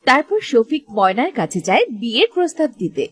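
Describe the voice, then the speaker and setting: A woman's voice: sits high, big pitch swings, fairly quick, slightly rough. A woman narrating a cartoon story in a scripted storytelling style.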